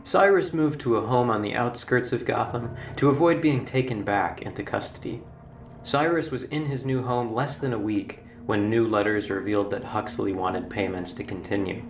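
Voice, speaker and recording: A man narrating a scripted horror documentary in a measured, formal storytelling manner. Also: fairly low pitch, slight background noise. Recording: roomy, distant playback with music. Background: background music.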